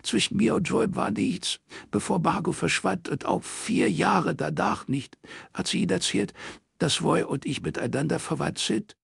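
Audiobook character: male reader as anxious middle-aged woman, pitched up, defensive and stammering, husky, close dry sound. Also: dry recording, heavily acted. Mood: defensive, flustered. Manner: halting, stammering, shaky. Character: nervous, defensive middle-aged woman recalling her past.

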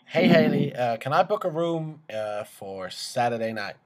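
A man's voice: medium pitch, animated, unhurried, a little mellow. A man in his twenties making a joking phone call in a cheeky, swaggering, slangy way from a small room. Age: 20s. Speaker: prank caller and video host.

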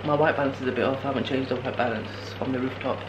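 A young man in his twenties films himself on a handheld camera and rambles in a loose, casual way. He is worn out with a sore throat, and his voice sits at an ordinary middle pitch. Clear room and street noise runs behind him. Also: fairly even intonation, very fast. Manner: rambling, tired, talks to camera in fillers. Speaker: travel vlogger. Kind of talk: casual solo travel vlog. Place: guesthouse room indoors, later noisy narrow street at night. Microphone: camera held at arm's length.

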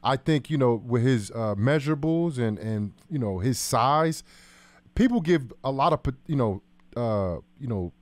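A man's voice: medium pitch, expressive, normal speed, no room sound. A man in his thirties from New Jersey, co-hosting a sports show with casual chat on a close studio mic. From New Jersey, United States. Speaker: sports talk show co-host. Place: small indoor studio. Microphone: close broadcast boom mic.

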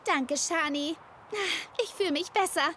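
A woman voicing a plucky but self-doubting young girl racer in a cartoon, youthful and expressive. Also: sits high, unhurried, slight background noise. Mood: upbeat. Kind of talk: German cartoon dub acting. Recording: music behind the voice.

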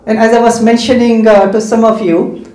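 Man, native Hindi-Urdu speaker, methodical Q&A speaker, slightly high voice, roomy hall sound, handheld mic. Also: level tone, normal speed, darkish tone. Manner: explanatory, lists points and adds "right?" checks. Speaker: Islamic speaker answering questions. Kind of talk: question-and-answer talk to students. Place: large indoor community hall. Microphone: handheld microphone. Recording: echoey hall.

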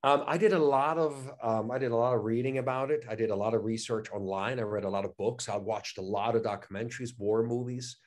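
Enthusiastic actor on a video call. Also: male voice, medium pitch, fast talker, few pauses, a little mellow. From Switzerland. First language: German. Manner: enthusiastic, repeats words, many "you know" and "I mean". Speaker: actor, interview guest. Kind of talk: casual interview chat. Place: living room at home, indoors. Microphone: video call, webcam.

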